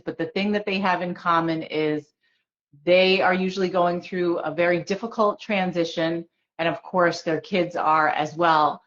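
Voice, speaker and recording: Woman, interview host, low voice, earnest, conversational, muffled lo-fi sound. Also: fairly bright tone. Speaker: podcast host. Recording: dull lo-fi sound.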